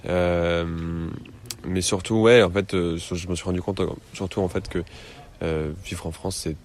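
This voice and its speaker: A young man in his twenties who grew up in the United States, answering interview questions in a relaxed, rambling way with frequent hesitations. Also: deep voice, a little slow. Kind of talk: casual conversation.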